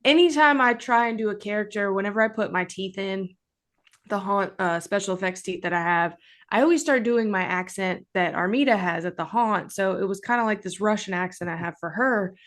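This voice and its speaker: Animated woman telling a story. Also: a little fast. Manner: animated, acts out quoted dialogue with pitch jumps. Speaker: guest, actress and haunt performer. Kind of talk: casual storytelling interview.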